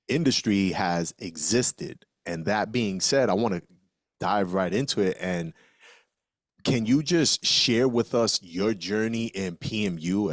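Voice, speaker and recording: A man's voice: deep voice, dry recording, studio quality. A man hosting a podcast, introducing and interviewing a guest with emphatic enthusiasm. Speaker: podcast host.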